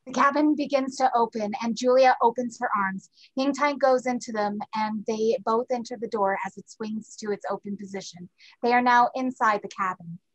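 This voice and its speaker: A woman's voice: fairly high pitch, normal speed, poor audio. A woman reading stage directions as scripted narration for a play reading over a video call.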